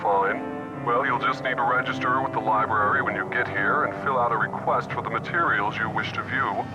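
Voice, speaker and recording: A man's voice: medium pitch, brisk, over loud music. A man playing a formal, pompous library archivist in a video game, speaking precisely through a telephone filter. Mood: officious, impatient. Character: stuffy, formal male library archivist, officious but decent. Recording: band-limited telephone call sound.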